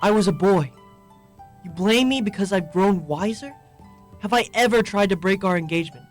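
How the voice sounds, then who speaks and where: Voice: medium pitch, lively intonation, normal speed, soft background music, lightly acted. An actor playing a proud, defensive young man engaged to marry, indignant, in a radio drama.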